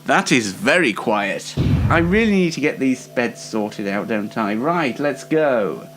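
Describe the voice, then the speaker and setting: Casting: British man, 20, solo show host, dry and rambling, with swooping intonation and slow delivery. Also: mid-range voice, animated, fairly bright tone, faint music behind. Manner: dry, tongue-in-cheek, rambling. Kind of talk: informal solo show presenting.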